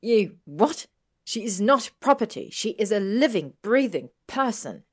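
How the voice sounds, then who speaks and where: A woman's voice: fairly low pitch, expressive, moderate pace, lightly acted. A woman playing an earnest, headstrong teenage witch in a story, speaking with emphatic, pleading urgency. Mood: furious.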